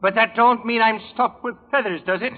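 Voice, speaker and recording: A man's voice: extremely high pitch, steady tone, a little fast, pitched above the performer's own voice. An actor playing a touchy, cocky, comically indignant Irish policeman with an Irish accent in 1940s radio style. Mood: huffy, cocky. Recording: muffled 1940s radio recording, long reverb.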